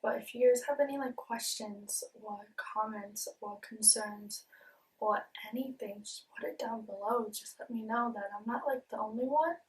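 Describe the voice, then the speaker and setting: A woman's voice: on the high side, normal speed, far from the mic, low-quality recording. A young woman vlogging casually to camera with a speech impediment that blurs some sounds, earnest and open, with many ums and repeated words. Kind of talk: casual confessional talk to camera.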